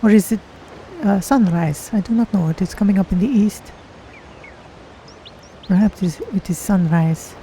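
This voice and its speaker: A streamer voicing a gentle cat-folk adventurer in a video game roleplay, speaking in deliberate, formal phrases as if musing aloud. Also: mid-range voice, slight background noise, close to an everyday voice, low-quality recording. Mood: musing, calm.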